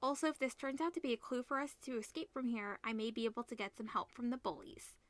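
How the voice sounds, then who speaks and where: A woman reading a visual novel aloud as a let's player, expressive, mixing narration with casual reactions. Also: fairly high pitch, quick pace, no echo. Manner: expressive, switches between narration and character lines.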